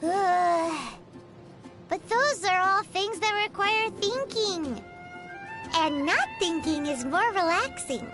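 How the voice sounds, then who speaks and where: A tiny, gluttonous fairy-like girl companion from a video game. The voice is extremely high and squeaky, with an animated melody and an unhurried pace. It is childlike and exclamatory. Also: heavily acted. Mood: whiny. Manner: speaks of herself in third person, exclamatory. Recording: music behind the voice.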